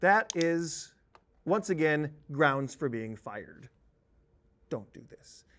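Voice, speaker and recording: A man in his thirties presenting a software tutorial in an animated, conversational, emphatic manner. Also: fairly high pitch, slow. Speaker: software trainer. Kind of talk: tutorial presentation with on-screen demonstration. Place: indoor presentation studio at a desk.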